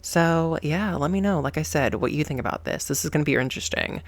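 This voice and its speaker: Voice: medium pitch, quick pace. A host giving casual reaction commentary in a campy, sassy way, with slangy exclamations and repetition for emphasis. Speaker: host of a gaming reaction channel.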